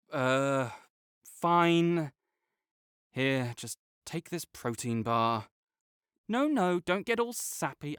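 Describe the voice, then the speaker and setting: A man's voice: a little high, clear, resonant tone, no room sound, studio quality. A young man playing a prickly male academic rival who is grudgingly kind, talking teasingly and defensively to a silent listener in an audio roleplay.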